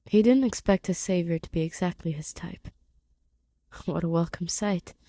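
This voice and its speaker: A woman's voice: fairly low pitch, normal speed, warm tone, no echo. Woman reading fiction, dry close sound. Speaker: fan fiction reader. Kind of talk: expressive scripted story narration.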